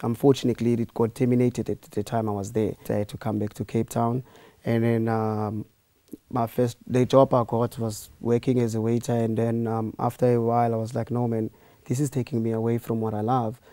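A man's voice: medium pitch, brisk, no echo. A young man, an aspiring opera singer, telling his story casually in a studio interview. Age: young adult. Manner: relaxed storytelling with fillers.

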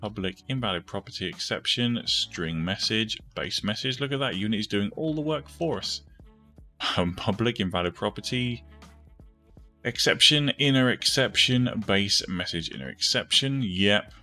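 A man's voice: fairly low pitch, animated, normal speed, bright, forceful tone, faint music behind. A man hosting a step-by-step coding tutorial, informally thinking aloud as he goes. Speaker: tutorial host.

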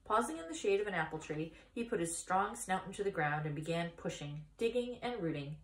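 A woman in her thirties reading a children's story aloud in a deliberate, expressive manner. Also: fairly low pitch, roomy sound, low-quality recording. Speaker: story reader. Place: small indoor room against a bare wall.

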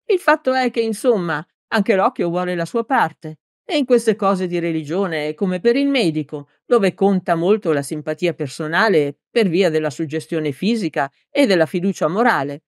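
A woman's voice: fairly low pitch, lively intonation, moderate pace, hardly any pauses, no room sound, clean studio sound, lightly acted. A woman voicing a big, hot-tempered male village mayor in a storybook, blustering and clumsily formal with emphatic delivery. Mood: awkward, deferential.

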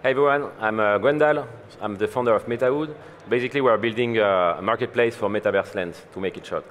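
Low-voiced man explaining in a reverberant hall. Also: quick pace, a little hiss or hum. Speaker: panelist. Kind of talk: conference panel discussion. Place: large conference hall stage. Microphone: headset mic.

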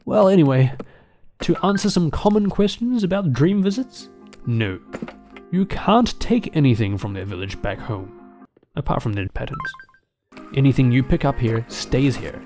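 A man talks casually through a video game in a dryly joking way. His pitch moves around in a lively fashion at a middling height, with light music playing behind him. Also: moderate pace. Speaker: gaming video narrator. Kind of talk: casual gameplay commentary.

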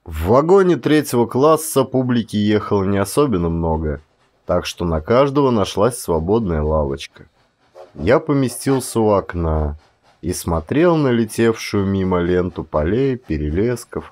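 A male actor narrates classic fiction in a fairly low voice. His delivery is measured and expressive, shaped as dramatic storytelling. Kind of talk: dramatic literary audiobook narration.

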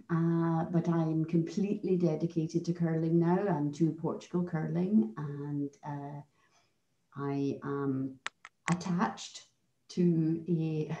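A woman's voice: lowish voice, warm tone. A Scottish woman in her fifties introducing herself on a video call, chatty and hesitant with many fillers. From Scotland. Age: 50s. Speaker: curling organizer and life coach. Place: home office with shelves. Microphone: video call webcam. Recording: roomy, dull webcam audio.

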